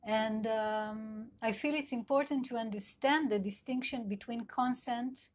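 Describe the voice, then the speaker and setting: A middle-aged woman with an Israeli accent, a sex and couple therapist whose first language is Hebrew, giving a live online talk in a gentle, searching way with many fillers. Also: a little high, fairly even intonation, a little slow. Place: at home in Israel.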